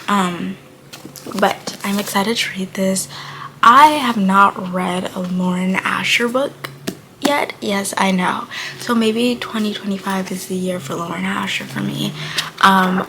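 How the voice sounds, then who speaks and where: A woman's voice: medium pitch, fairly even intonation, a little slow, creaky, sharp tone, a little hiss or hum, poor audio. A nineteen-year-old woman from Buffalo, New York, chatting casually as a book vlogger while recovering from illness, her voice slightly off and often dropping to a murmur. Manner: chatty and rambling, frequent drop to a murmur. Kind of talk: casual chat to camera.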